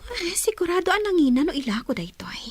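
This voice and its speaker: A woman's voice: extremely high pitch, sing-song, fairly quick, soft background music. A woman playing a sly, materialistic young girlfriend, coy and scheming, in an audio drama. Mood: greedy, secretive. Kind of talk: melodramatic audio drama acting.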